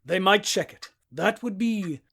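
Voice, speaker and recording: Give the professor a fairly high male voice acted by a man, courteous and controlled. He is a secret foreign agent in an audio play. His politeness carries understated menace. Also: lively intonation, unhurried, creaky, a bit bright, breathy edge, exaggerated voice. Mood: coolly menacing. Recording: home-recorded audio play, faint insect-like hiss.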